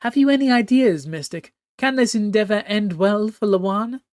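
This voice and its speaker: A man doing a shrill imperious sea empress. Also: moderate pace, darkish tone, dry recording, close to an everyday voice. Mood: haughty. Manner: regal royal "we", shrill and commanding.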